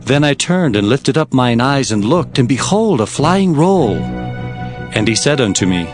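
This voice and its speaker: Actor as male prophet, earnest, formal storytelling, highly animated pitch, mid-range voice, scripture audio drama, faint music. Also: moderate pace, close to an everyday voice. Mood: earnest, wondering. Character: earnest male prophet recounting his visions.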